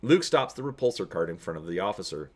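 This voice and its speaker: A man giving a dramatic narrated reading of an adventure story, expressive in his storytelling. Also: deep voice, quick pace. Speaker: reader and host of a gamebook read-through.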